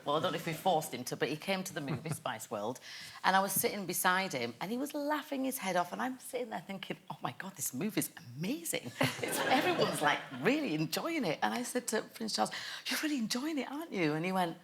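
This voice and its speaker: A woman's voice: medium pitch, fast talker, gravelly, slight background noise. A woman talk show guest telling a funny anecdote, laughing as she goes. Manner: animated, laughing storyteller.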